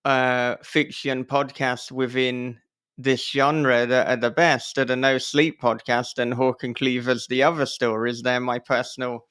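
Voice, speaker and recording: A man's voice: medium pitch, level tone, measured pace, a bit bright, clean tone. A man hosting a podcast, interviewing in a casual, chatty and slightly wry way.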